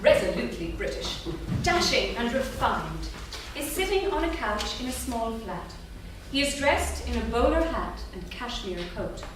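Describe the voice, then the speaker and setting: A woman with a fairly high voice narrates a script in a theatre. The recording is distant and very echoey, with clear background noise. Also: very animated, unhurried, hardly any pauses. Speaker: stage-directions reader. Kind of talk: scripted narration at a staged reading. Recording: very echoey, noisy hall from far off.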